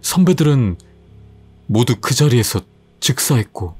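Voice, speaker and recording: A man's voice: mid-range voice, very animated, a little slow, darkish tone, husky, soft background music. A man voicing a young male student who shivers in the cold and talks in a shaky, puzzled, blunt way. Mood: shaken.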